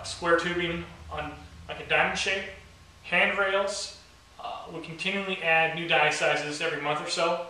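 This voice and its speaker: Young guy talking thru a shop tool demo, bright kinda sharp voice, really echoey garage, camera mic far off. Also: on the high side, slight background noise. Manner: plainspoken, dry wry humor. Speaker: company owner demonstrating a product. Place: garage workshop, indoors. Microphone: camera mic from across the room. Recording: very echoey garage, heard from a distance.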